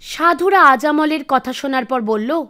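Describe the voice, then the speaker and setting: A woman reading scripted narration for an animated devotional tale, with music behind her. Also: high voice, a little slow. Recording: music bed under the voice. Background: background music.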